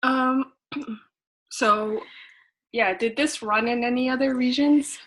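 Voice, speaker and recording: A young woman hosts a podcast interview, asking her questions slowly. She hesitates often and fills the gaps with plenty of ums. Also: sits high, steady tone, fairly bright tone, poor audio. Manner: hesitant, with many fillers. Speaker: student interviewer, podcast host.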